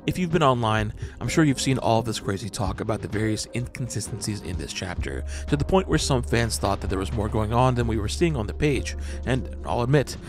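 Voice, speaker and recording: A man giving a measured, analytical scripted narration as host of a manga breakdown channel. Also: on the low side, animated. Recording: voice-over with music underneath. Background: background music.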